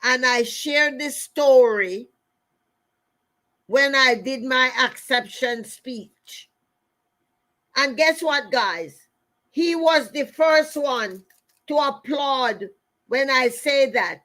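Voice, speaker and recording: A woman's voice: sits high, drawn-out words, long pauses, bright, forceful tone, low-quality recording. A middle-aged woman with a Jamaican accent giving a motivational talk through personal stories, emphatic, repeating phrases and speaking straight to her listeners. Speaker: motivational speaker and show host.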